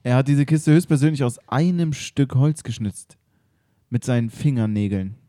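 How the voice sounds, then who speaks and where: A man's voice: medium pitch, normal speed, warm tone, no room sound, studio quality, close to an everyday voice. A man playing a fawning, rambling museum curator who stammers in an improvised comedy radio play. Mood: flustered. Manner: flustered, stammering, starstruck.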